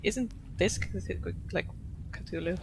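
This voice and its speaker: A woman's voice: on the low side, slow pace, gravelly, noisy background. A woman giving casual live commentary as a game streamer, with offhand, wry reactions.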